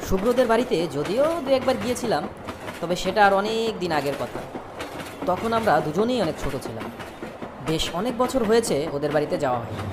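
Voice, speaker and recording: A woman's voice: medium pitch, big pitch swings, fairly quick, noisy background, lightly acted. A woman playing a polite young city student who narrates a cartoon story in the first person. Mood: reflective. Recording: prominent music behind the voice.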